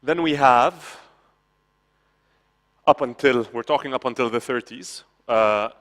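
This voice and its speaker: A man's voice: medium pitch, takes it slowly, a bit bright. A man from Lebanon, giving a conversational conference talk with frequent "okay?" asides and restarts. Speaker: conference speaker. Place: conference hall. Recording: hall reverb from a conference stage.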